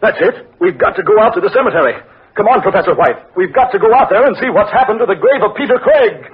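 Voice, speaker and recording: A man's voice: high-pitched, expressive, fast talker, slight background noise. An actor playing a guilt-ridden, terrified man in 1940s radio horror style, agitated and melodramatic. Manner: agitated, melodramatic, repeats himself in dread. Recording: muffled vintage radio recording.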